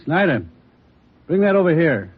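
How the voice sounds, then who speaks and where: An American man playing a businesslike police lieutenant in a vintage radio drama, with a husky voice. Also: on the high side, very animated, moderate pace. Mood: matter-of-fact. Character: businesslike male police lieutenant, bomb expert. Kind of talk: 1940s radio drama acting. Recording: phone-like narrow old radio recording.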